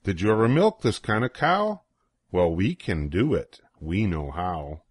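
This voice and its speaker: Older male storybook reader, lowish voice, very animated, dry close sound. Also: measured pace, slightly rough, no room sound. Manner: playful, sing-song rhythm with swooping emphasis. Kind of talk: expressive read-aloud of a children's rhyming picture book.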